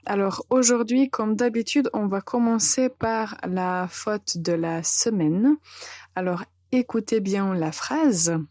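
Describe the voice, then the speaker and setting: A woman in her thirties from Serbia with a Serbian accent, hosting a podcast lesson calmly with frequent hesitations. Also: mid-range voice, dry recording, clean studio sound. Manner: calm, deliberate, with frequent hesitations. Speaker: French teacher and podcast host.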